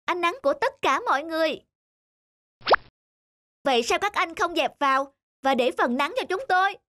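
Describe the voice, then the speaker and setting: A woman voicing a squabbling, indignant talking household object in an animated moral tale. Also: extremely high pitch, moderate pace, no room sound, clean studio sound, heavily acted. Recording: music behind the voice.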